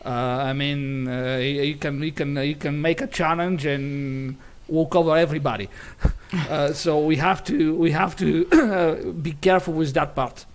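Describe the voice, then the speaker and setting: A man, a pianist on a jury panel, giving critique in a halting way with frequent restarts. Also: a little high, fairly even intonation. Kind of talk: spoken critique.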